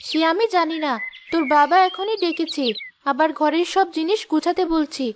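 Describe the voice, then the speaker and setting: A woman's voice: very high-pitched, moderate pace, noisy background. A woman voicing an anxious young village wife and mother in a cartoon moral tale, with a fretful manner. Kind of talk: animated moral story voice acting.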